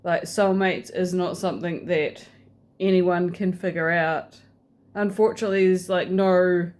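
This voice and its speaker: A woman with a New Zealand accent, rambling casually to camera in a vlog, with many ums and restarts. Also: fairly low pitch. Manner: hesitant, many fillers and restarts. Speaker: vlogger.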